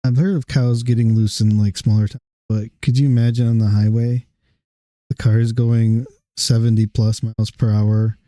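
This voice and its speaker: A man's voice: medium pitch, level tone, normal speed, warm tone, no room sound. A man giving casual, laid-back reaction commentary as the host of a clip show. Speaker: host reacting to scanner clips.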